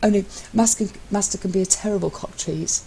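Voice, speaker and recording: A woman voicing a bland, deadpan young man who teases his husband with mock servility, in an audio story. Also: mid-range voice, brisk, vocal fry, slight background noise, pitched above the performer's own voice, lightly acted, poor audio. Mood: dry teasing.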